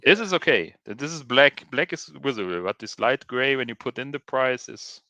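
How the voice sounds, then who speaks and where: Halting man, bright clear voice. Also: medium pitch, expressive, no echo. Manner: halting, many restarts and ums. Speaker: podcast co-host. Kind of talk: casual chat.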